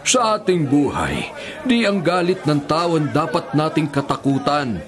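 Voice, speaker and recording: A man acting in a Filipino radio drama as a gentle, God-fearing older prisoner who sermonizes earnestly as a peacemaker. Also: on the high side, very animated, a little slow, crowd noise. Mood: earnest, preaching.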